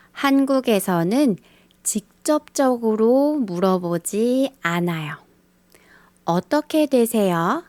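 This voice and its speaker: A woman teaching a beginner language lesson, speaking in a slow scripted style and carefully repeating the example phrases. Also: fairly high pitch, darkish tone, no echo. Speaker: Korean teacher.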